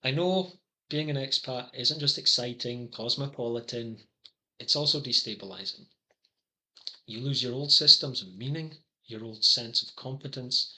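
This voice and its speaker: Bright-voiced Scottish man, wry and earnest. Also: mid-range voice, poor audio. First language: English. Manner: wry, dry asides between earnest points. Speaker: psychology consultant. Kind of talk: scripted promotional monologue.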